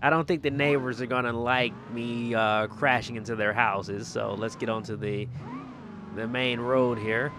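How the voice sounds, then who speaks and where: A man's voice: mid-range voice, fairly even intonation, normal speed, background noise. A man doing casual, chatty gameplay commentary in informal, colloquial speech, often repeating his phrases. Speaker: gaming video creator and host.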